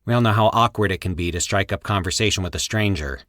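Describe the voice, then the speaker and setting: Low-voiced man narrating, close and dry. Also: fast talker, a bit bright, no room sound. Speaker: podcast host and narrator. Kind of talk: scripted podcast narration.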